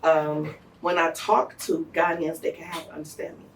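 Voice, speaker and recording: An American woman with a low voice tells stories in a relaxed interview. She talks fast, full of slang and laughter. The mic sits some way from her. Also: far from the mic, a little hiss or hum. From United States. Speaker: interview guest. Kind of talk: casual conversational interview.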